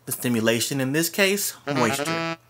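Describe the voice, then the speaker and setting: A man's voice: mid-range voice, lively intonation, normal speed. Sardonic male comic storyteller over music. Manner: profane, sardonic, slangy. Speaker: host and comedic storyteller. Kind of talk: scripted comic narration.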